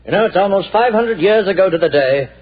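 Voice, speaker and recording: A vain, effusive stage actor in 1940s radio acting, with a husky, slightly high voice. His speech is theatrical and gushing. The old broadcast sounds dull and muffled. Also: expressive, fast talker.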